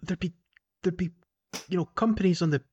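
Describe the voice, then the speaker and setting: A man's voice: sits high, fairly quick, a little mellow, breathy edge, lo-fi sound. A man co-hosting a podcast in casual gaming chat, often repeating words as he thinks and chuckling as he goes. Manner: chuckles often while talking. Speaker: podcast co-host. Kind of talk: casual chat about a video game.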